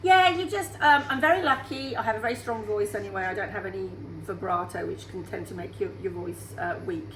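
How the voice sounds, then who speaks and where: A woman's voice: mid-range voice, fairly quick, hardly any pauses, a bit bright. A woman in her sixties, a singer being interviewed on radio, who talks in a chatty, upbeat way. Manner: chatty and upbeat, laughs easily. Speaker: singer guest. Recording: roomy sound with faint background hum.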